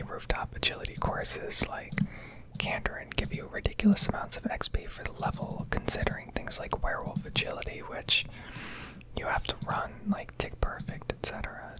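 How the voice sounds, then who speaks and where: A woman whispering a rambling, casually sweary ASMR commentary over gameplay, close to the microphone. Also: poor audio. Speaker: game streamer.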